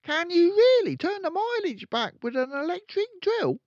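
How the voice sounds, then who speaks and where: A man's voice: sing-song, moderate pace, dry recording, highs cut off. A man voicing an eager, wide-eyed young boy in a children's storybook, with a questioning manner. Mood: eager, curious. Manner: high, questioning. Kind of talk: children's storybook read aloud.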